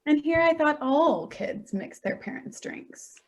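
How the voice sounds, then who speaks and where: Actress as a sardonic adult daughter, wry, even-voiced. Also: female voice, high-pitched, unhurried. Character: Danielle, wry grown daughter and writer. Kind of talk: staged play reading.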